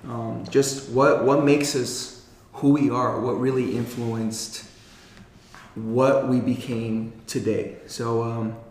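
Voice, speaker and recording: A man's voice: medium pitch, little pitch movement, slow, a little mellow, reverberant, slight background noise. A man raised in Colorado hosting a casual podcast, joking and rambling. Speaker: podcast co-host.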